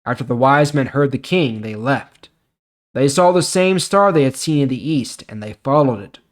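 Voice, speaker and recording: A man's voice: mid-range voice, lively intonation, moderate pace. A man hosting a Bible reading series, moving between reading scripture and explaining it in an informal, emphatic way. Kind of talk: scripture reading with casual commentary.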